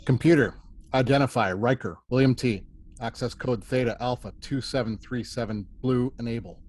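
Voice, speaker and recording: A man reading the part of a stern first officer acting as prosecutor, deliberate and formal, in a sci-fi table read. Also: mid-range voice, a little mellow, natural voice. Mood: commanding. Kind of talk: amateur table read of a sci-fi courtroom script.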